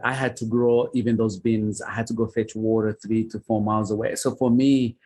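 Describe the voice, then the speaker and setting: A man's voice: mid-range voice, darkish tone, husky. A man from Uganda, a podcast guest telling his life story earnestly and often adding "you know". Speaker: guest, author and foster father. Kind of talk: interview storytelling.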